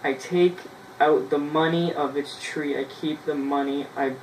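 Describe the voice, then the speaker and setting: A man, casually reading his old writing aloud in a halting way, with self-mocking asides, in a small bedroom. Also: a little high, steady tone. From Canada. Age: 20s. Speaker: vlogger. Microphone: camera a few feet away. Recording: roomy, distant mic with noise.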